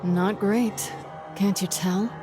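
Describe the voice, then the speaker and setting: A woman playing a gruff, curt, fiercely protective warrior in a video game. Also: medium pitch, steady tone, takes it slowly, close to an everyday voice. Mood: curt, weary. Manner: blunt, terse. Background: game music.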